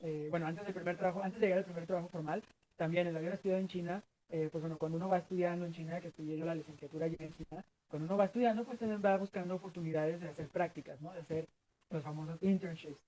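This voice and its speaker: A man's voice: fairly high pitch, steady tone, very fast, dark tone, a little hiss or hum, low-quality recording. A Mexican man in his thirties answering interview questions earnestly, rambling with many fillers and restarts. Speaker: guest.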